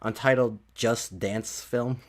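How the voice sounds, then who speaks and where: A man's voice: medium pitch, steady tone, slow pace. A man hosting a casual podcast chat, talking hesitantly and trailing off as he works through topics. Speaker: podcast host.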